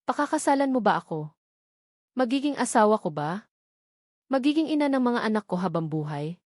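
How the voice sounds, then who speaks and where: A woman's voice: on the high side, sing-song, normal speed, darkish tone, dry recording, studio quality. A woman with a Filipino accent voicing a devoted, earnest man in love for a romance story. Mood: tender, earnest.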